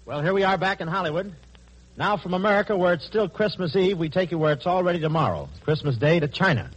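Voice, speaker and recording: Quick-talking male 1940s radio host. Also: high voice. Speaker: radio show host in the studio. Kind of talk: 1940s live radio hosting. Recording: dull, faintly noisy 1940s radio recording.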